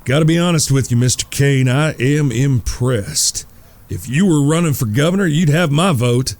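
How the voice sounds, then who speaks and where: An actor playing a shrewd outlaw gambler in a western audio drama, polite, folksy and coolly confident. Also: male voice, mid-range voice, normal speed, darkish tone, close to an everyday voice. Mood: probing, affable. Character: shrewd, courteous outlaw gambler, leader of his brothers.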